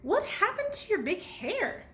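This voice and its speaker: A woman's voice: very high voice, big pitch swings, measured pace, pushed and bright, pitched above the performer's own voice, exaggerated voice. A woman voicing a supportive, easygoing young girl bee in a storybook, bubbly and exclamatory. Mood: surprised.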